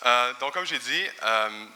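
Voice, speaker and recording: A man's voice: medium pitch, pushed and bright, big-room sound, a little hiss or hum. A man in his thirties with a Quebec French accent, giving a chatty, informal personal testimony in church with light humor. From Quebec, Canada. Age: 30s. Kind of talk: personal testimony before a congregation. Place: church sanctuary.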